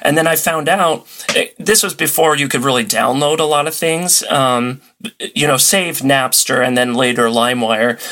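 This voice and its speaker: A man's voice: mid-range voice, pushed and bright. Enthusiastic man telling stories as podcast guest. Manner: enthusiastic, playfully dramatic. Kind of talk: casual chat, storytelling.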